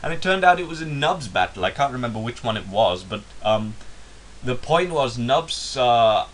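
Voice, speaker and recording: A man's voice: mid-range voice, lively intonation, moderate pace, slight background noise. A young English man talking in a put-on Indian English accent, rambling and self-mocking in a casual vlog monologue. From England. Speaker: YouTuber.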